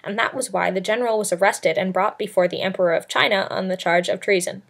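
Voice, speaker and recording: Woman, medium-pitched voice, storybook narration, amateur audiobook reader, scripted read-aloud. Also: steady tone, fast, creaky.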